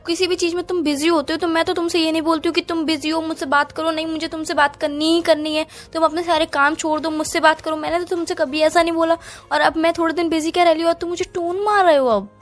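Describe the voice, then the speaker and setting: A woman's voice: very high-pitched, flat delivery, quick pace, few pauses. A woman with an Indian accent playing a hurt, apologetic young student girlfriend, pleading in a phone-call romance drama. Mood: hurt, protesting. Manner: pleading, plaintive.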